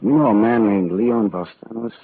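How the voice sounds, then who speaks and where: A man's voice: on the low side, steady tone, normal speed, slightly rough. A man playing a wisecracking hard-boiled private detective, dry and clipped, in 1940s radio acting. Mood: wry. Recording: tinny old radio broadcast, faint hiss.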